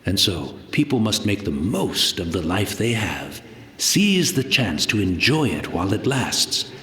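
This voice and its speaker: Frail, rambling old servant, swooping pitch. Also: male voice, sing-song, a little slow, sharp tone, echoey room, slight background noise, full character voice. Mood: wistful, resigned. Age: older. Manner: courteous, wistful, rambling, old-fashioned formal speech. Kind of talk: video game voice acting.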